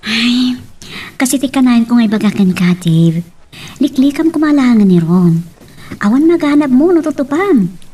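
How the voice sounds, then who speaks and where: Woman acting a defiant young ilocano girl in a love drama, really high voice, swoopy delivery. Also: lively intonation, moderate pace, warm tone, husky. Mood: concerned. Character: feisty young woman, defiant daughter and sweetheart.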